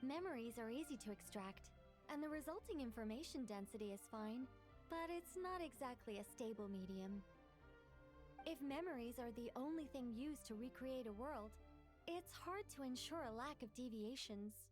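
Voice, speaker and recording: An earnest young female magician in a video game, voiced by a woman. Her voice is on the high side, and she explains things formally and precisely, with faint music behind. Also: expressive, moderate pace, a little mellow, natural voice. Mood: explanatory.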